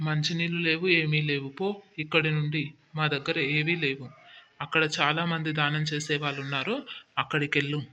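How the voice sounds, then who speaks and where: A man voicing a greedy, gruff village elder brother in a moral story cartoon. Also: a little high, little pitch movement, brisk, pushed and bright. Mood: dismissive. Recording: dull lo-fi audio with clear noise.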